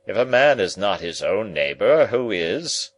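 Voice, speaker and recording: Man acting a pompous, declamatory gentleman. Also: fairly low pitch, very animated, a little slow, slight background noise. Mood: dry contradiction. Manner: declamatory, sententious. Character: pompous, dry, contrary middle-aged gentleman cousin. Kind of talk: dramatised audiobook reading.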